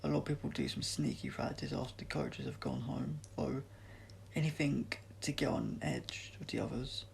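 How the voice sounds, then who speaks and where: Storybook part: uneasy, evasive young male athlete, gravelly, middle register, played by a male narrator. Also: mid-range voice, sing-song, moderate pace, slight background noise. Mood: confiding. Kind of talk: audiobook character dialogue.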